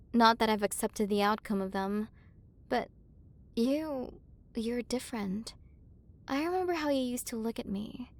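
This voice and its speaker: A proud warrior queen , acted by a woman, speaks in a fairly high feminine voice. She is haughty yet easily flustered, with a tender side under the ruthless front. The delivery is intimate, romantic ASMR roleplay in a classic tsundere style. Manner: haughty but flustered, softening into intimate murmurs. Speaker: voice actor.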